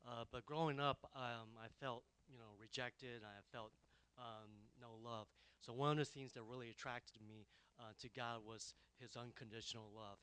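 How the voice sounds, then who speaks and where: A man's voice: medium pitch, steady tone, normal speed, fairly bright tone, clean tone. Hesitant man giving a testimony. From Taiwan, raised in the USA. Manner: hesitant, many ums and uhs. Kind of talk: church testimony. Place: church.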